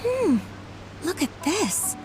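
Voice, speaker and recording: A woman's voice: very high-pitched, big pitch swings, very slow, heavily acted, rough recording. A woman voicing a chatty, gossiping townswoman in a video game. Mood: surprised, curious. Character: chatty townswoman gossiping about local news. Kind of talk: video game character dialogue. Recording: music behind the voice.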